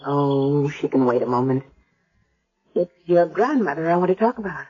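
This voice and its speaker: An American actress playing a caring, earnest, gently persistent woman doctor in a radio drama. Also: sits low, expressive, normal speed. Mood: probing. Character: caring, earnest, probing female hospital doctor. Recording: dull vintage radio broadcast.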